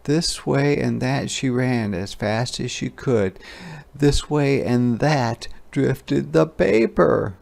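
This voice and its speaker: A man narrating a children's picture book aloud in a deliberate, expressive storytelling style. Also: mid-range voice, vocal fry. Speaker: story reader.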